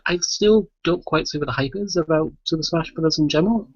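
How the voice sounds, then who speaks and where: A man's voice: fairly high pitch, a little fast, dull audio, a crowd in the background. A man hosting a casual, sweary podcast in a playful, jokey way. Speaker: podcast host.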